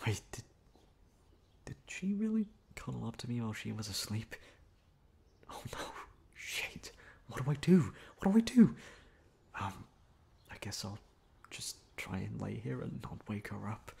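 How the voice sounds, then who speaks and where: A gentle young male classmate, acted by a man in an intimate ASMR roleplay. He is bashful and hesitant, with a low, gravelly edge. His pitch swings readily as he stammers and gets flustered. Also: big pitch swings, measured pace, pauses often, heavily acted. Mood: quiet panic. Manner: hesitant, stammering, soft-spoken.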